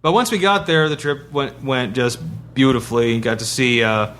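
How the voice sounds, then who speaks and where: A man co-hosting a podcast, telling a story casually in a halting way, circling back over his words. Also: medium pitch, animated, fairly bright tone, slight background noise. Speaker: podcast co-host.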